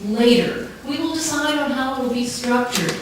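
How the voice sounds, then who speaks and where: A woman speaking earnestly and emphatically in a measured way, addressing a council committee as a campaigner in a public meeting. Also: sits high. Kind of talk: prepared public speech and answers to questions. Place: large town hall meeting room. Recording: very echoey hall, distant mic, clear background noise.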